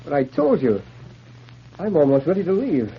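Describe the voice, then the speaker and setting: An anxious, desperate fugitive, with halting, agitated speech full of feeling. The old radio drama recording is muffled and slightly noisy. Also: male voice, on the high side, wildly swooping pitch. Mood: pleading, distressed. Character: desperate, honest male fugitive, anxious and emotional.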